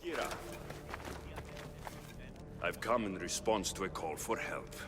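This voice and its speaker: An actor playing a stoic, battle-hardened mercenary in a video game, blunt and dry. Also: male voice, low voice, lively intonation, takes it slowly, over loud music. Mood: blunt, resolute. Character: stoic, battle-hardened young male Greek mercenary, dryly sardonic. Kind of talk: video game cutscene acting.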